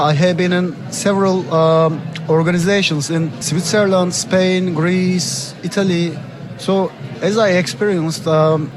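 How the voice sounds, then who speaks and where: A man in his thirties with a Turkish accent answers interview questions earnestly in non-native English. His voice sits noticeably high for a man. A busy fair crowd is clearly audible around him. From Istanbul, Turkey. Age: 30s. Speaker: interview guest, artist and zine publisher. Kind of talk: conversational interview. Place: busy art book fair. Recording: busy fair chatter and street noise.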